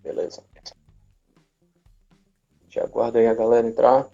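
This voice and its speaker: A man's voice: mid-range voice, level tone, slow pace, creaky, dark tone, faint music behind. A man, hosting a casual live chat in an informal, hesitant way on lo-fi audio. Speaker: host, business administrator. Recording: dull lo-fi live stream audio.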